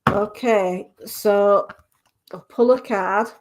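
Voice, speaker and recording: A woman with a Yorkshire accent giving a live psychic reading on the radio, gentle and reassuring, often restarting her sentences. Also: mid-range voice, very slow.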